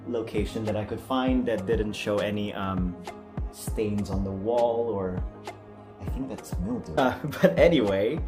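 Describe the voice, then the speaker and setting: A low-voiced man talks to his viewers in an off-the-cuff vlog style. He rambles along in a self-deprecating, apologetic manner. Music plays clearly behind him. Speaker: amateur voice actor and video host. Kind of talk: casual unscripted vlog chat.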